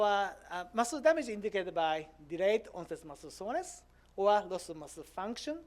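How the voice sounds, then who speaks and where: A man in his fifties from Japan, speaking English with a Japanese accent, giving a conference lecture with many "uh" pauses. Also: high voice. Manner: hesitant, frequent "uh" fillers, simple non-native grammar. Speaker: visiting professor giving a lecture.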